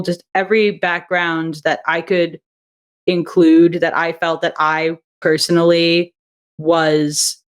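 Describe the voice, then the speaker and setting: Woman, audio drama creator, interview guest; thoughtful, meandering delivery. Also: low-pitched. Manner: thoughtful, rambling, with fillers and small laughs. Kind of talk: casual interview chat.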